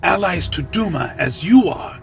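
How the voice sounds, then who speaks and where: Casting: ambitious, insinuating male vampire schemer for audio drama man acting, very creaky voice, swinging intonation. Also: high voice, lively intonation, measured pace, soft background music, full character voice. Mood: persuasive, condescending.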